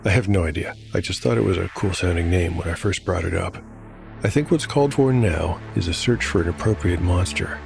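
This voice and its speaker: A man narrating a solo fantasy role-playing show as game master, in a measured, explanatory scripted style. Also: low voice, creaky. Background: music.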